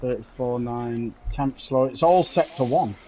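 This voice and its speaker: A man's voice: medium pitch, moderate pace, dark tone. A man racing online, muttering his lap times and swearing casually as he chats with friends before a race. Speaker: sim racing driver. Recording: dull lo-fi voice chat.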